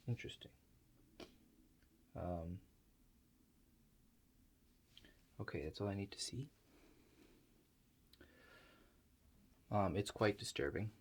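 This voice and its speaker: A man playing an awkward, hesitant operator of a strange future-viewing machine in a soft-spoken ASMR roleplay, halting and understated. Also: low voice, breathy edge, exaggerated voice. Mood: awkward, reluctant. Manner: halting, understated, mumbled asides with many pauses.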